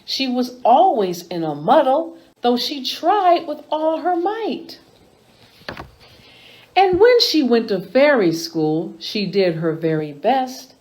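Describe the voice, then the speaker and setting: A woman reading picture books aloud to children in an expressive storytime style, as a host with a deliberate, animated delivery. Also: high-pitched, rough recording.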